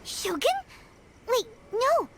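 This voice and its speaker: A woman voicing a tiny, chatty, excitable fairy companion in a video game, with a squeaky childlike voice. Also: slow, heavy background noise, heavily acted. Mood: unsure. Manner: perky, chatty, refers to self by name. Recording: loud game music behind voice. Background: game music.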